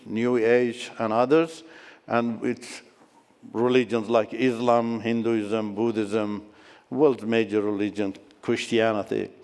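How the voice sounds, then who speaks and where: A middle-aged man tells his testimony slowly and earnestly to a conference crowd. His voice is smooth and resonant. It rings out through a large hall with long reverb. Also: medium pitch. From Iran. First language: Turkish. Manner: earnest storytelling with restarts, excited in tense moments. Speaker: conference speaker. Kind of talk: personal testimony talk.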